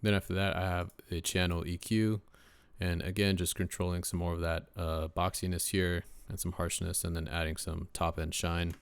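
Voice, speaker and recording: Young guy with a deep voice, gravelly and smooth, dry close sound, lots of ums. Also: flat delivery, normal speed, no room sound. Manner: laid-back, lots of uh and um fillers. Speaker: rapper and home mixing engineer. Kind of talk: casual screen-share mixing tutorial.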